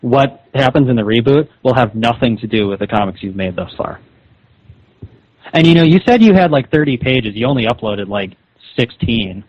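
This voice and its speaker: A man's voice: mid-range voice, animated, normal speed, darkish tone, dry recording. A man arguing over the phone in an insistent, scolding, confrontational way. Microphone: telephone call. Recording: dull lo-fi phone call recording.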